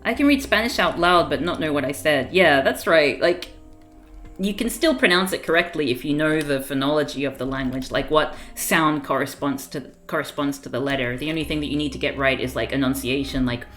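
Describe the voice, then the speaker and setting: A woman's voice: low voice, brisk, a bit bright, faint music behind. A woman streaming a game, chatting casually and rambling with frequent laughs; her first language was Fiji Hindi. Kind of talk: casual livestream chat while playing a game.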